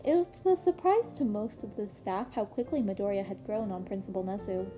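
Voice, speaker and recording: A woman's voice: mid-range voice, brisk, warm tone, soft background music. A woman reading a story aloud as scripted narration. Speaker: podfic narrator reading fan fiction aloud.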